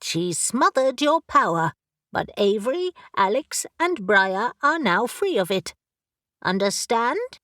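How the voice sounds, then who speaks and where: A woman's voice: fairly high pitch, very animated, slow pace, fairly bright tone, no room sound, studio quality, full character voice. A woman voicing an impatient talking raven that scolds and commands, in a storybook reading.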